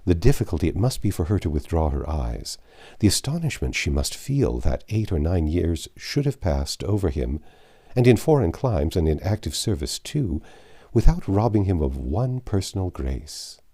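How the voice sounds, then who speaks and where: A man's voice: deep voice, very animated, moderate pace, mellow, slightly rough. A man reading a classic novel aloud as an audiobook narrator, in a scripted storytelling style.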